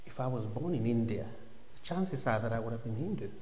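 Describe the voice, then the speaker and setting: A man's voice: mid-range voice, animated, a little fast, darkish tone. A man from Nigeria in his thirties shares his faith story before a congregation, talking in a reflective, conversational way. His voice rings through a big, echoey hall with a long reverb tail. A faint hum of the room sits underneath. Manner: reflective, conversational, drops to near whispers. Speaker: church speaker giving a testimony. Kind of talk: personal testimony to a congregation.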